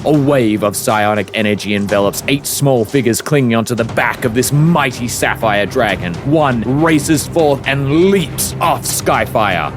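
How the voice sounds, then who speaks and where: A man narrates a fantasy audio drama in a dramatic, scripted style. His mid-pitched voice sits over clearly audible music. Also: expressive, measured pace, hardly any pauses. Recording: prominent music bed behind narration.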